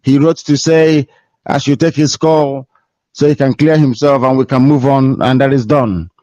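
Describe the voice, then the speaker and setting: A man's voice: medium pitch, steady tone, moderate pace, warm tone, dry recording. A man hosting a call-in radio show, formal and repeating the station name between calls. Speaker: radio host taking calls. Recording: dry, dull lo-fi sound.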